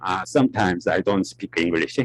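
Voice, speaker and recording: A middle-aged man from South Korea with a Korean accent, a native Korean speaker giving a conference lecture in a halting way, often repeating words and restarting. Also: low-pitched.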